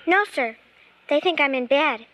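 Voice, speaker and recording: A woman's voice: high-pitched, lively intonation, a little slow, a bit bright, poor audio. A woman playing a plucky, inquisitive little girl in an old-time radio suspense drama, with artless, hesitant childlike phrasing. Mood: feigned innocence.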